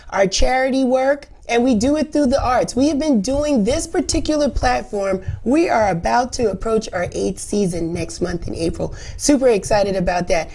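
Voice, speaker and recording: Hoarse, upbeat woman hosting an interview. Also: a little high, darkish tone. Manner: effusive, lots of encouraging affirmations. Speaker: host. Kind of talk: casual interview.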